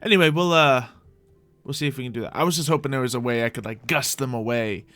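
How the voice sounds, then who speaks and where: A man's voice: medium pitch, fast talker, fairly bright tone. A man hosting a livestreamed game roleplay, giving whimsical, rambling in-character commentary and talking to his audience in a theatrical way. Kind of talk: in-character gameplay commentary, chatting with viewers.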